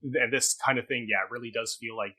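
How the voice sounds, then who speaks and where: A man's voice: medium pitch, fairly even intonation, brisk, pushed and bright. A man co-hosting a theatre review talk, speaking conversationally in an articulate, wordy way with careful hedging.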